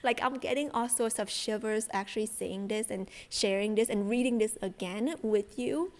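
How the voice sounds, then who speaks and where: A woman's voice: medium pitch, normal speed. A young woman from Vietnam, chatting enthusiastically to her viewers about spirituality, with plenty of fillers. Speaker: spiritual content creator. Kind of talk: casual chat to viewers.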